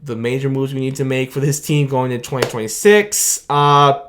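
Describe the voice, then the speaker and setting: A man's voice: mid-range voice, steady tone, moderate pace, a bit bright, a little hiss or hum. A tired young man, his voice starting to go late at night, rambling through a long, grateful sign-off to his sports reaction video. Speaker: sports fan video host. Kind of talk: late-night post-game reaction monologue. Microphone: camera mic with his own light.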